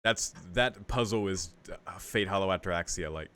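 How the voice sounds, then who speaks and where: Low-voiced man doing a casual let's play. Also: moderate pace, a bit bright, smooth. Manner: casual, swearing, muttered asides. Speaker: let's play streamer reading a visual novel aloud. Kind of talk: casual gaming commentary mixed with read-aloud narration.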